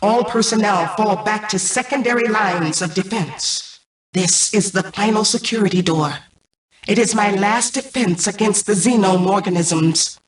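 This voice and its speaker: A woman's voice: mid-range voice, close to an everyday voice. Woman as a cold, echoey female mainframe AI. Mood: cold, commanding. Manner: formal, commanding, measured robotic delivery. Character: cold, imperious female mainframe AI, menacing and calculating. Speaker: game antagonist turned ally. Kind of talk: scripted video game voice acting. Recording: distant, echoey processed game audio.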